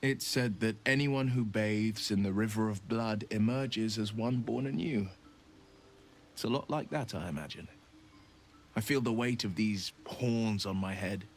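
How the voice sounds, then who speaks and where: A man playing a horned, pact-bound warlock hero in a video game, speaking with solemn, eloquent, theatrical earnestness. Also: fairly low pitch. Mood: wry, reflective. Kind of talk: dramatic video game acting.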